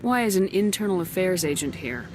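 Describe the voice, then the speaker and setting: A woman's voice: lowish voice, very animated, fairly quick, faint music behind. A woman playing a tough military commander in a video game, speaking firmly and directly with blunt questions. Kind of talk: video game dialogue acting.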